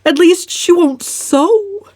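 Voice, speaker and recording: A woman's voice: extremely high pitch, slow, a little mellow, no room sound, pitched above the performer's own voice. A woman voicing a tearful, put-upon older mother in a whiny, sobbing voice for an audiobook. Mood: tearful complaint.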